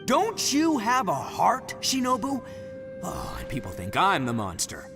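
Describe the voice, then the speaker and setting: A man's voice: high-pitched, wildly swooping pitch, measured pace, over music, heavily acted. A man playing a boisterous, boastful young oni gang boss in a video game, brash, theatrical and easily rattled. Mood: indignant.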